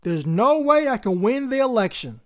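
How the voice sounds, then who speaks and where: An anxious schoolboy from a children's storybook, read by a woman. He sounds earnest, plaintive and unsure of himself, with an animated pitch. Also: normal speed. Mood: discouraged.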